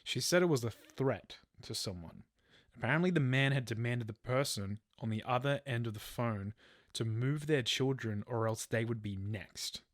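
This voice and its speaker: A man's voice: medium pitch, normal speed, no room sound, clean studio sound. A man telling a true crime story as a podcast host, partly from a script. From Australia.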